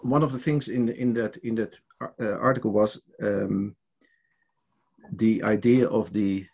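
Older Dutch-accented man, hesitant church-leader guest on a headset video call, husky and unhurried. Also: lowish voice. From Netherlands. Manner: hesitant, many fillers and restarts. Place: home study with bookshelves.

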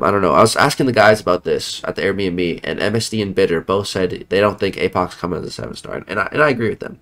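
A man's voice: on the low side, fairly bright tone. A young man giving casual commentary on a mobile game, sounding a bit off because he is sick, rambling and correcting himself as he talks into a headset at home. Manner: chatty, rambling, self-correcting. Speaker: mobile game content creator. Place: home room, indoors.

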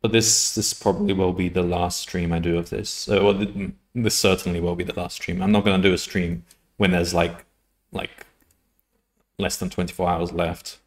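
A man's voice: deep voice, flat delivery, normal speed. A man in his thirties chatting casually on a live coding stream at his desk, rambling and correcting himself, often laughing. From United Kingdom. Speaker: coding livestreamer. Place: home room at a desk.

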